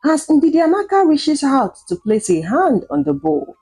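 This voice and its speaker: A woman's voice: high voice, very animated, a little slow, dark tone. A woman storyteller giving a dramatic scripted narration of a folktale.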